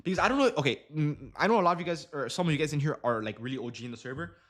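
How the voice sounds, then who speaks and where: A man's voice: a little high, lively intonation, racing, a bit bright. A young man casually chatting on a trading livestream, slangy and loose, full of fillers and restarts. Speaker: day trading streamer.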